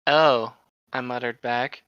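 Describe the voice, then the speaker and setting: A man's voice: medium pitch, fairly even intonation, slow pace, a bit bright, clean tone, no echo. A young man joking around and reading aloud in a casual, playful way over a slightly dull, lo-fi mic. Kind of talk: casual chat and read-aloud.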